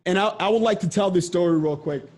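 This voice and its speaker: A man's voice: sits high, quick pace, a little mellow. A man from the Democratic Republic of Congo giving a conversational seminar presentation over a video call, earnest and explanatory. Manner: earnest, explanatory, repeats himself.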